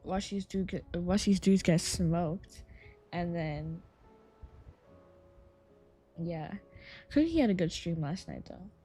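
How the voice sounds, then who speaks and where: A woman's voice: on the low side, takes it slowly, long pauses, slightly rough, soft background music. A woman game streamer chatting casually on a live stream, her voice tired and a little scratchy. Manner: relaxed, rambling, chatty.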